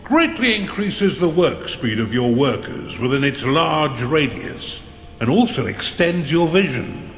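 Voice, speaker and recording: Echoing, swooping theatrical dungeon advisor. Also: male voice, fairly high pitch, sing-song. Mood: grand, instructive. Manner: theatrical, formal, deliberate announcing. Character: theatrical male dungeon advisor addressing an evil overlord. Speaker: game narrator. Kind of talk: scripted fantasy game narration. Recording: roomy, long reverb, faint noise.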